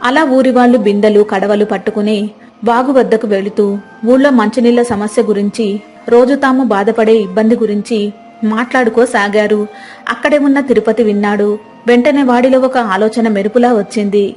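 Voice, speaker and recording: A woman's voice: on the high side, steady tone, a little fast, darkish tone, soft background music. A woman storyteller reading a scripted moral tale as narrator.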